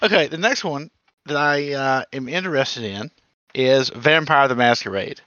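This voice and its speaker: A man's voice: mid-range voice, moderate pace, bright, forceful tone, no room sound, muffled recording. A man co-hosting a podcast, rambling and joking as he gives advice in casual chat. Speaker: podcast co-host.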